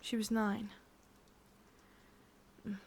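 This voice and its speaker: A woman with an English accent voicing an ancient, possessive, wistful witch in a slow, intimate, breathy ASMR whisper. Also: medium pitch, flat delivery, a little hiss or hum. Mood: confiding. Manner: slow, intimate, musing, with lingering pauses. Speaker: ASMR roleplay performer. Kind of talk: whispered ASMR roleplay monologue.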